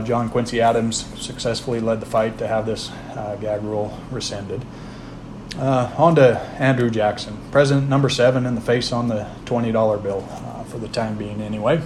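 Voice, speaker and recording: A man hosting a podcast, talking through history in a plain, unhurried way with frequent "uh" fillers and restarts. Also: mid-range voice, fairly even intonation, background noise. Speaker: podcast host. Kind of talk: informal history talk.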